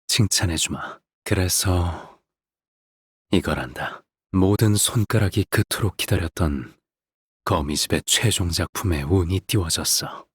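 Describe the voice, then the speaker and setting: An actor playing a calm, sinister middle-aged father in a game, speaking in a measured, soft, condescending way with a husky, breathy voice. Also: low-pitched, no echo, clean studio sound, heavily acted. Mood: pleased, soft. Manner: measured, soft-spoken, condescending, trailing into breathy near-whispers. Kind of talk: theatrical scripted game voice acting.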